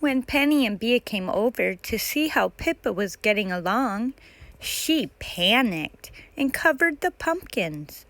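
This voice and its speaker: A woman reads a children's picture book aloud in a fairly high voice. Her pace is very slow and measured, and the sound is dry and close, with little room to it. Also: big pitch swings, fairly bright tone, dry recording. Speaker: storytime host reading a picture book. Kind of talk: read-aloud children's story narration.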